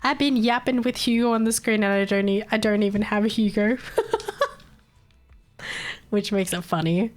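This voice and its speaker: A woman's voice: medium pitch, steady tone, unhurried, long pauses. A woman streamer chatting casually late at night, a little nasal from a cold, rambling and laughing often. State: recovering from a cold, slightly nasal.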